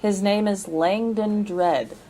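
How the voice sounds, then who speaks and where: An actress playing a skeptical, exasperated young college teacher, blunt and sarcastic in casual audio drama banter. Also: female voice, mid-range voice, takes it slowly, mellow, close to an everyday voice. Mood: dry, dismissive.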